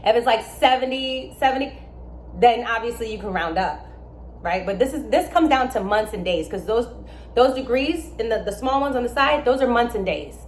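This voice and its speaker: A young adult woman with a fairly high voice talks through astrology in a casual, emphatic way. Her voice rings in an echoey room with a faint hum of ambience behind it. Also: normal speed. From born in Germany, raised in America. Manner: animated, emphatic, with asides and laughs.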